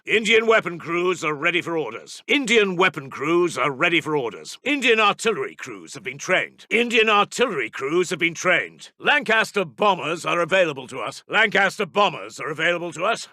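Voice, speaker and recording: A man with a British accent playing a brisk, stiff-upper-lipped Second World War army intelligence officer making formal announcements in a video game. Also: high voice, sharp tone, dry recording, clean studio sound, heavily acted. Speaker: military announcer.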